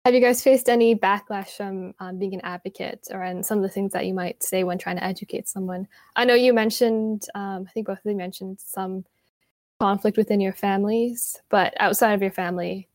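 A woman's voice: medium pitch, steady tone, brisk, darkish tone, dry recording, clean studio sound. A young woman in casual podcast chat, agreeable and asking questions.

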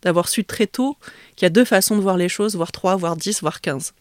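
A woman's voice: fairly low pitch, moderate pace, darkish tone, no room sound, studio quality. Hesitant woman, Vietnamese native. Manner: thoughtful, hesitant, many restarts. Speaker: author guest. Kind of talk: conversational interview.

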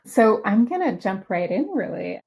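A woman's voice: medium pitch, very animated, moderate pace, gravelly, a little mellow. A woman co-hosting a podcast, interviewing a guest in a friendly, encouraging way. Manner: friendly, encouraging, with short backchannel replies.

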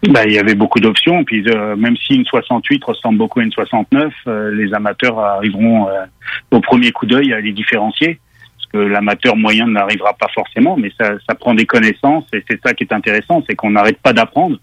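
Parisian man, car magazine editor, low husky voice, dull radio sound. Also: fairly quick, fairly bright tone, no room sound. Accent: Parisian French. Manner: explanatory, many hesitations. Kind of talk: radio interview guest.

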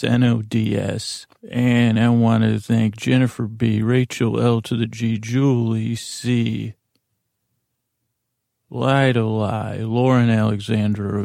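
A man with a dark, mellow and deeply creaky voice hosts a bedtime podcast. He meanders and doubles back on his sentences with many false starts. The sound is dry and close. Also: no echo. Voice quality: gravelly, creaky. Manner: meandering, halting, self-correcting with repeated false starts. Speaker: podcast host. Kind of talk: rambling bedtime podcast intro.

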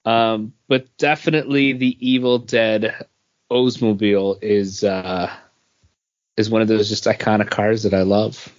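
A man's voice: fairly low pitch, steady tone, a little slow, no echo, muffled recording. A man co-hosting a podcast, talking casually about pop culture, hesitant and often circling back over his phrases. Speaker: podcast co-host.

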